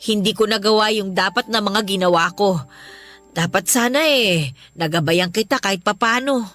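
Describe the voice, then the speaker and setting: Casting: actress as elderly Filipino mother-in-law, fretful and tender, bright forceful tone, radio drama over music. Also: mid-range voice, normal speed, lightly acted. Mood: remorseful. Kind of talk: Tagalog radio drama acting.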